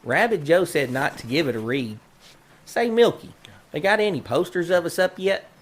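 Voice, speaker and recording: High-voiced, dim cowboy sidekick. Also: male voice, expressive, moderate pace. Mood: cheerfully oblivious. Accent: American Old West drawl. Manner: simple, folksy, earnest malapropisms. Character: dim, cheerful, naive cowboy sidekick Jesse. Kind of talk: western comedy audio drama acting.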